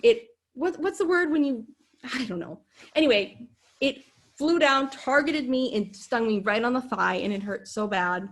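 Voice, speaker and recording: A woman's voice: fairly high pitch, moderate pace. A woman with a Minnesotan accent, a podcast guest chatting casually, bubbly, laughing often and rambling as she tells stories. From Minnesota, USA. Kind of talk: casual chat.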